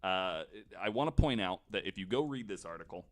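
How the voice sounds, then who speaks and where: Man, lowish pitch, podcast host, casual explainer, halting delivery, informal and unpolished. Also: expressive. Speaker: podcast host presenting a researched story.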